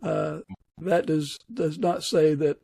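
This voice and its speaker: A man's voice: on the high side, steady tone, takes it slowly, gravelly, dark tone, slightly rough, dry recording. A man hosting a podcast, interviewing in a casual, conversational way. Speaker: podcast host.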